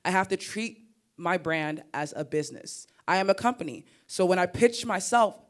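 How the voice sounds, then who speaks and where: A woman's voice: lowish voice, normal speed. A young woman with a Nigerian-American accent, talking animatedly as a creator on a summit panel stage. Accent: Nigerian mixed with American. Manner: animated and expressive, laughs and repeats words. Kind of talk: conference panel talk.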